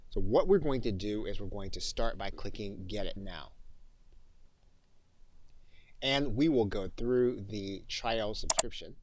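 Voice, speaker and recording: Man with a lowish voice narrating a tutorial. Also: animated, normal speed, no room sound, poor audio. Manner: methodical, instructional step-by-step delivery. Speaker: software tutorial instructor.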